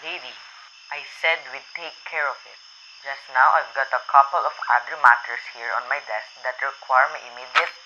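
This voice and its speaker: Young man acting dismissive police sergeant, bright voice. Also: medium pitch, fairly even intonation, normal speed. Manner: dismissive, placating. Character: unconcerned, patronizing male police sergeant on duty. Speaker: student voice actor in a radio play. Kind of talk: amateur radio drama acting. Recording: dull lo-fi sound, faint noise.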